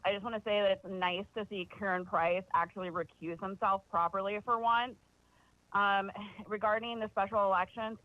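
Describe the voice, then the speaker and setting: A man calling in to give a sharp, sarcastic, accusatory public comment. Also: sits high, dry recording. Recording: dry muffled phone line.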